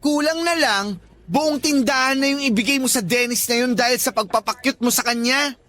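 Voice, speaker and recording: A man's voice: extremely high pitch, fairly quick, bright, forceful tone, no echo. A man playing a sassy flamboyant best friend. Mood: scolding. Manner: teasing, scolding, dramatic. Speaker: voice actor in radio drama.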